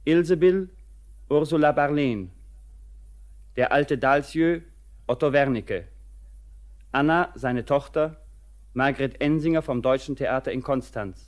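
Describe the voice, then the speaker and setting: Slow, formal male radio announcer. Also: mid-range voice. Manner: measured, formal. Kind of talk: formal broadcast cast announcement.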